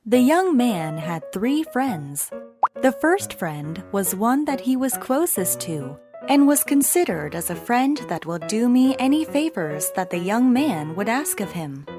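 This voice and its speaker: A woman storyteller reads a children's bedtime tale. Her delivery is slow and deliberate with very animated intonation, and soft music plays behind her. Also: medium pitch.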